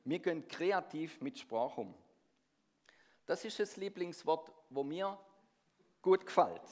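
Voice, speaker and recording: A man's voice: on the high side, lively intonation, slow pace. A middle-aged man from near Stuttgart with a Swabian accent tinged by Swiss dialect, preaching a sermon and drawing the congregation in with questions. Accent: Swabian-tinged Swiss German. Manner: engaging, asks the congregation questions.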